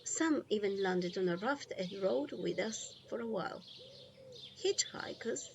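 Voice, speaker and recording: A woman narrates a children's story with deliberate, expressive phrasing. Her pace is slow and her pitch sits in a middle range. Birds, frogs and light outdoor ambience can be heard behind her. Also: gravelly, lo-fi sound, a little hiss or hum. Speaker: storybook reader.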